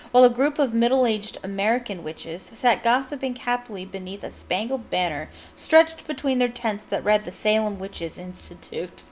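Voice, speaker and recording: A young adult woman reading a novel aloud as a fan host, breaking off for giggly, enthusiastic comments. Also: mid-range voice. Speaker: book reader and fan channel host.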